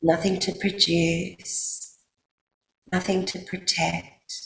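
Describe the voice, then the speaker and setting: A woman with an Australian accent guides a sleep meditation in a soothing, unhurried way, leaving long pauses. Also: on the low side, level tone, creaky. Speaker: yoga nidra guide. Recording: roomy echo, faint background noise.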